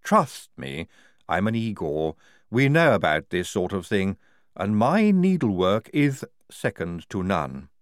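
A man's voice: on the low side, sing-song, slow pace, clear, resonant tone, dry recording, clean studio sound. A man voicing a proud female Igor surgeon in a storybook, deferential and matter-of-fact. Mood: proud, frank.

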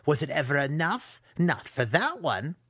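Male narrator as young dark elf, sarcastic, cruel, sneering, higher-pitched, dry close sound. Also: very animated, measured pace, no room sound. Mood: bitter ranting. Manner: sneering, mocking. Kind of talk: fantasy audiobook character voice.

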